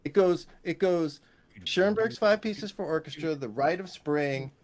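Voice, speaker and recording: A man's voice: a little high, a little slow, a little mellow. An American man, a guest musician and lecturer, chatting casually and enthusiastically about music on a panel.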